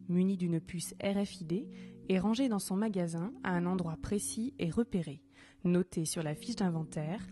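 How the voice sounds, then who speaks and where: Female documentary narrator, mid-pitched and mellow, measured scripted explanation over background music.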